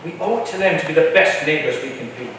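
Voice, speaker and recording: Male actor as persuasive colonial schemer for stage musical: high, very animated, declamatory, heard from afar in an echoey room. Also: fast talker, far from the mic, slight background noise. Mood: scheming, idealistic. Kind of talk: staged musical reading, spoken and sung. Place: live staged reading in a large echoey room.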